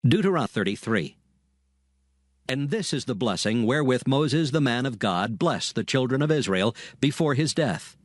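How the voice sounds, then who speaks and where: Male narrator, lively intonation, dry close sound, soft music bed. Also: medium pitch, moderate pace, dry recording. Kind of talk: scripted scripture narration.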